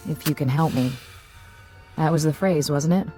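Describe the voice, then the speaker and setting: An actress playing a quiet, thoughtful assistant code breaker with an uneasy manner in a radio drama. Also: female voice, sits low, moderate pace, faint music behind, natural voice.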